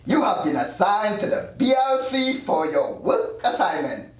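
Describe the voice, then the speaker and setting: A man's voice: high voice, sing-song, a little slow. A man playing an evasive afterlife doctor in his thirties, read at a script table read. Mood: matter-of-fact. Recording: very echoey room, distant mic.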